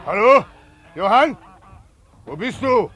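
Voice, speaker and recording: An actor playing a stern farmer father, gruffly calling out orders to his son in a radio drama. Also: high voice, animated, slow, sharp tone, heavily acted. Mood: stern, commanding. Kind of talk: German radio drama acting.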